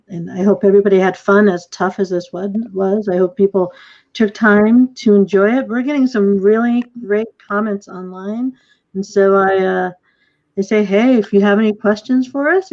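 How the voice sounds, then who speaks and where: A woman's voice: medium pitch, dark tone. Effusive woman host on muffled call. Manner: effusive, hesitant. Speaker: director hosting a talkback. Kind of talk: informal post-show hosting. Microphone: video call. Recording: dull, lo-fi video call audio.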